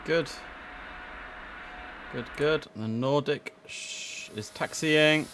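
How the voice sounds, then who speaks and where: A man's voice: on the high side, extremely slow. A man livestreaming a game, mixing casual muttered chat with clipped air traffic control phraseology. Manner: casual, muttering to himself between clipped instructions. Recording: clear background noise under the voice.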